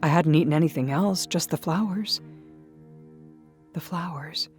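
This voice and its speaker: A woman giving dramatic first-person story narration in a measured, intimate manner. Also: sits low. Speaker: audiobook-style narrator. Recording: music behind the voice.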